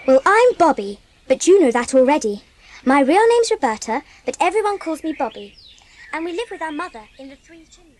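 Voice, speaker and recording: A woman's voice: high-pitched, very animated, brisk, a little mellow. A woman playing an earnest, polite and eager English girl in a dramatised children's audiobook. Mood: bright, chatty. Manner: earnest, well-mannered, childlike.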